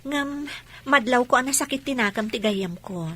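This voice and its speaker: A woman's voice: a little high, moderate pace, sharp tone. A woman playing an affectionate young woman being courted, acted in the style of a radio comedy drama. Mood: earnest, hesitant.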